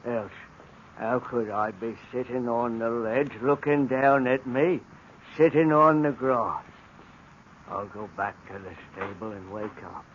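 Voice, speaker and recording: An actor playing a simple-minded, confused young stable hand, talking in a slow, dazed, childlike way. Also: male voice, mid-range voice, fairly even intonation, a bit bright, natural voice. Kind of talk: 1940s radio acting. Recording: dull old radio recording with hiss.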